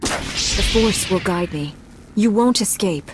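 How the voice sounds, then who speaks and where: An actress playing a calm, earnest, determined heroine knight in a video game. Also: female voice, mid-range voice, takes it slowly, music in the background. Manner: composed, resolute. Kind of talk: video game cutscene acting.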